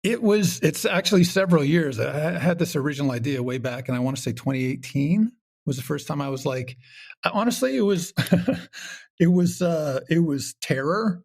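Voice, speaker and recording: A man from Canada talking casually as an interview guest, animated and rambling, acting out his own thoughts as he goes. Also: fairly high pitch, gravelly. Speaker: audio comedy creator, podcast guest.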